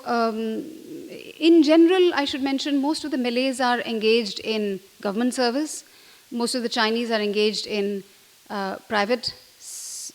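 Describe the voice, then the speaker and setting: A middle-aged woman from India, giving an unscripted briefing as an ambassador, hesitant, with many fillers and restarts. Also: fairly high pitch. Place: conference room.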